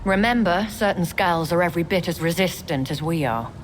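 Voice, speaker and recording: A woman's voice: fairly low pitch, a little fast, lightly acted. An actress voicing a knowing, cryptic female vampire in a video game, cool and condescending in manner. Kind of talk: scripted game dialogue. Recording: loud noise behind, clicks.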